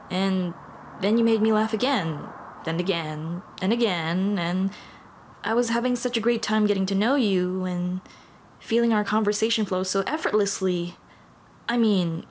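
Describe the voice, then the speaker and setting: Young female dullahan played by a woman, nervous and apologetic. Hesitant, self-deprecating, intimate ASMR roleplay delivery, mid-pitched, over clear ambient noise. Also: close to an everyday voice. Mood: wistful, fond.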